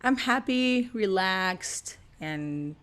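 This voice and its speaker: A woman's voice: medium pitch, lively intonation, extremely slow, a bit bright. A woman from Argentina with an Argentine Spanish accent, chatting playfully as a podcast guest and laughing often. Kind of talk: casual chat.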